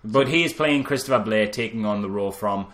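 A man's voice: lowish voice, fairly quick, a bit bright. A man co-hosting a casual film review podcast, telling anecdotes in a jokey, sweary way. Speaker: podcast co-host.

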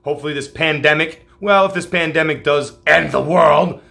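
A man in his forties hosting a horror show, giving an energetic, loud intro with casual swearing. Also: on the high side, moderate pace, creaky. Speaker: host. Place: home room, solo on camera.

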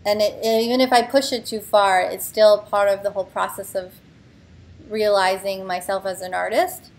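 A woman in her thirties, an artist talking through her own work on a video call, thoughtful and halting, with many fillers and restarts. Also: medium pitch, fairly even intonation. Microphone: video call webcam.

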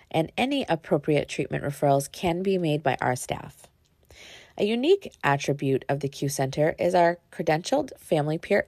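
A woman's voice: low-pitched, creaky, darkish tone, no room sound, studio quality. A woman, a youth development specialist, reading a scripted presentation over slides on a webcam.

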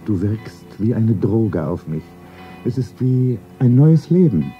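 A man playing a wealthy lover in a stylised 1970s radio play, tender and solemn, with an intimate husky delivery. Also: fairly low pitch, sing-song, takes it slowly, dull audio, faint music behind.

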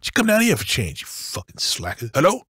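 A man's voice: mid-range voice, huge pitch swings, fairly quick, husky, no echo, studio quality, exaggerated voice. A man voicing an aggressive, foul-mouthed, streetwise bank robber in a video game. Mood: nervous, casual. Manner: forceful, profane, streetwise slang. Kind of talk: video game combat barks.

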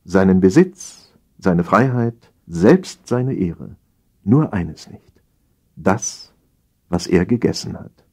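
Male narrator for satirical audiobook: low, husky voice, theatrical and mock-solemn delivery. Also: sing-song, slow pace, mellow. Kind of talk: dramatic reading of satirical stories.

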